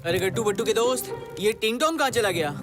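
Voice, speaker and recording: Comic cartoon hero, extremely high voice, excitable, repetitive chatter, background music. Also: male voice, fast. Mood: eager, hungry. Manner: chatty, repeats phrases. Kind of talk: Hindi cartoon dubbing.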